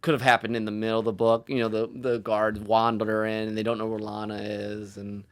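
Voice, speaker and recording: A man's voice: on the low side, little pitch movement, brisk. A man co-hosting a podcast as an author, chatting casually in a rambling, self-deprecating way.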